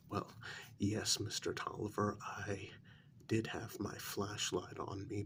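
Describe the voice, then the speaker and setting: A man playing a polite, hesitant working man placating his boss in a soft, partly whispered ASMR role-play, halting and deferential. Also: lowish voice, a little hiss or hum. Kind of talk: soft-spoken ASMR role-play, one side of a conversation.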